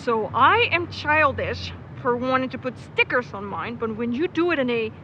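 An actress playing a sassy, easily offended young friend in an audio drama, with a theatrical, snippy delivery. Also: female voice, a little high, lively intonation, normal speed, sharp tone, highs cut off, a little hiss or hum. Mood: sassy, argumentative. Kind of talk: naturalistic audio drama acting.

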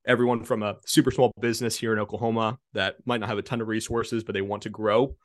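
Man, 20s, low-pitched, easygoing guest talk, dry close recording. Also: quick pace, no room sound. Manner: relaxed, conversational, many fillers and restarts. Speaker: guest, marketing consultant. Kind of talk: casual podcast interview.

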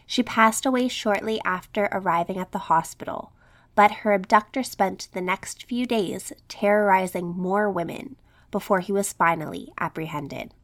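A woman narrates a true crime story from a prepared script, her voice slightly scratchy as she recovers from an illness. She sits at a medium pitch and moves evenly through dates, figures and quoted remembrances. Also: moderate pace, fairly bright tone. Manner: reads script with quotes and statistics. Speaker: true crime podcast host.

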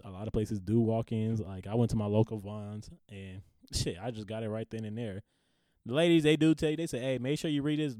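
A man's voice: fairly low pitch, warm tone, dry recording. Laid-back young man, dry close sound. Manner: laid-back, laughs often, slangy. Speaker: podcast co-host. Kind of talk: casual chat.

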